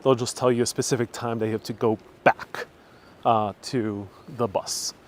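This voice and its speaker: A man's voice: medium pitch, fairly even intonation, normal speed. A man hosting a casual, conversational travel livestream outdoors, talking in a slightly hesitant, informal way. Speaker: travel vlogger, livestream host. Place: outdoors on a castle tour. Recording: faint outdoor background noise.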